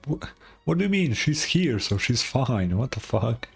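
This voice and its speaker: A woman's voice: rumbling low, very animated, unhurried, gravelly, soft background music. A woman streamer giving casual, laid-back, brief reactions to a video game.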